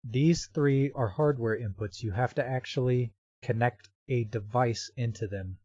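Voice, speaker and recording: A man walks through software setup step by step in an informal, explanatory manner. His voice is mellow and sits at a middling pitch. The recording is dry and somewhat dull, as from a close voice-over microphone. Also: a little slow, slightly rough, no room sound. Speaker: software tutorial presenter. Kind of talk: step-by-step screen tutorial narration.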